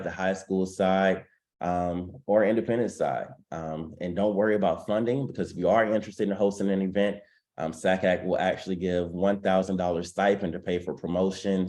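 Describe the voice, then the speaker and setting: A man's voice: sits low, darkish tone. A congested man hosting a webinar on a video call, making announcements with frequent ums. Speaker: webinar host.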